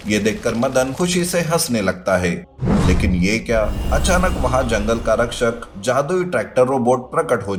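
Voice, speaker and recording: A man's voice: mid-range voice, noisy background. A man reading scripted narration for a children's story as the storyteller. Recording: loud music behind voice.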